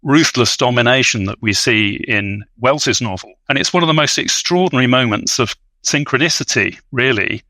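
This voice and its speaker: A man's voice: medium pitch, moderate pace, few pauses, sharp tone, no room sound. A man speaking as an expert podcast guest in an academic discussion, with hesitant, scholarly, wordy phrasing.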